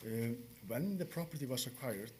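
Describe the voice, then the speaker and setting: A man from Iceland with an Icelandic accent, a property owner answering questions at a county hearing, pausing often to search for his words. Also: medium pitch, lively intonation, darkish tone, a little hiss or hum. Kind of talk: testimony at a code hearing.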